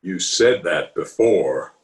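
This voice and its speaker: A man's voice: sits low, lively intonation, slow pace. A man playing a blustering, boastful middle-aged town official in an old-time radio comedy, theatrical in manner. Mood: scornful, boastful. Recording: roomy video-call recording.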